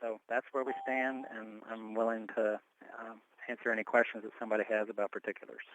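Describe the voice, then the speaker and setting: A man's voice: lowish voice, little pitch movement, brisk, fairly bright tone, no room sound, highs cut off. A man giving an informal, step-by-step report in a conversational way, speaking on a telephone conference call. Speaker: board member reporting on tax filing. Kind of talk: informal spoken report at a meeting.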